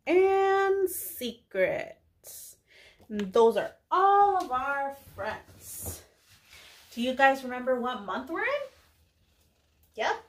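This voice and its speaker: A young woman preschool teacher addressing little children in a deliberate, clearly enunciated way, often posing questions. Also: high voice. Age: young adult. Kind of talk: children's lesson, addressing kids, English with some Spanish words.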